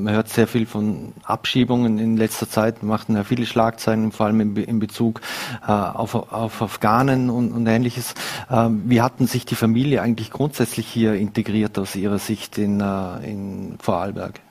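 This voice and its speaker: Flat-voiced hesitant male interviewer. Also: on the low side, moderate pace. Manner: hesitant, many fillers. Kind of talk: news interview questions.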